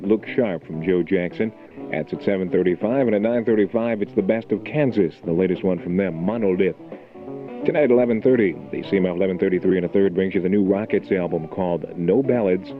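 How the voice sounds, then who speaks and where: A man with a deep voice works as a morning rock DJ. His delivery is laid-back and conversational. The recording is an old radio aircheck, narrow and tinny, with music playing behind him. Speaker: radio DJ, morning show host. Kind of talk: album rock radio DJ patter with local announcements. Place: radio studio.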